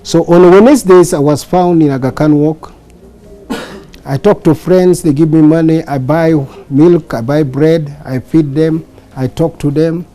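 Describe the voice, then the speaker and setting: A man's voice: a little high, fairly even intonation, unhurried, dark tone, rough recording. An older man from Uganda, a pastor giving an earnest personal testimony with frequent restarts.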